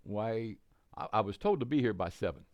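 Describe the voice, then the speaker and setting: A woman's voice: rumbling low, expressive, fairly quick, dry recording, dull audio. A woman acting a brash, chatty, eager young man on a blind date in a radio comedy. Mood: cocky, eager.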